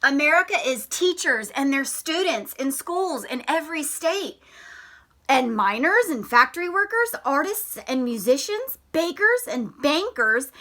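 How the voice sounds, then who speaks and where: Female schoolteacher for a children's read-aloud lesson; bright, clearly forward tone, slow and deliberate, expressive delivery. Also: high-pitched. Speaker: elementary school teacher.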